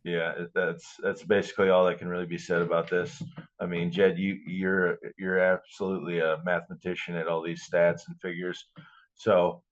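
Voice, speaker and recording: Male football podcast co-host, deep, halting and blunt, on a slightly dull lo-fi mic. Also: flat delivery. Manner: blunt, halting, repeats himself. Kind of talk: casual sports chat.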